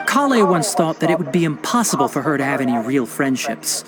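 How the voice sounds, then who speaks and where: A patient, lecturing forest ranger. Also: male voice, a little high, big pitch swings, fairly quick. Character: calm, learned young male forest ranger and botanist. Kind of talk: video game voice acting. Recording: loud game soundtrack music behind.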